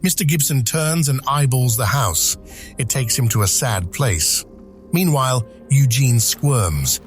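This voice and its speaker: Male narrator, mid-pitched, reading scene directions for an audio drama with music behind. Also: expressive, unhurried. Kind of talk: scripted screenplay narration.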